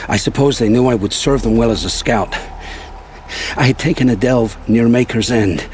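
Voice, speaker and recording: Actor as a grave, low-voiced haunted scavenger. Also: male voice, normal speed, slight background noise, natural voice. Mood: bitter confession. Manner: grave, dramatic, storytelling turns of phrase. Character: remorseful male scavenger, coerced spy, haunted and fatalistic. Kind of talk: video game cutscene acting. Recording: loud music behind the voice.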